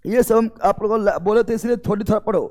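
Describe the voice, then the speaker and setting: A man's voice: high voice, fast talker, darkish tone. A man with a Bihari accent teaching an exam coaching class, repeating key terms and putting check questions to his students.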